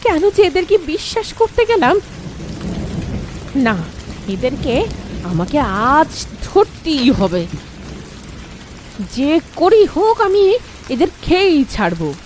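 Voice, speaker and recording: A woman's voice: sits high, sing-song, slow, long pauses, a little mellow, slight background noise, full character voice. A woman voicing a bloodthirsty, gloating female witch in a horror cartoon. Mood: vengeful. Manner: gloating, threatening, taunting. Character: menacing bloodthirsty female witch hunting fleeing humans.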